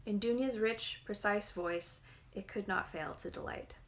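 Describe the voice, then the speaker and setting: A woman reading literary fiction aloud in a measured, expressive storytelling manner. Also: medium pitch. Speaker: reader.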